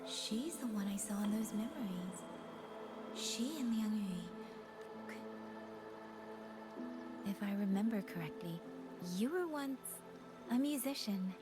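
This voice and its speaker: An actress playing an earnest, compassionate young heroine in a video game, with solemn, deliberate phrasing. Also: female voice, medium pitch, animated. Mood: pensive. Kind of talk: dramatic video game voice acting. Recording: game music behind the voice.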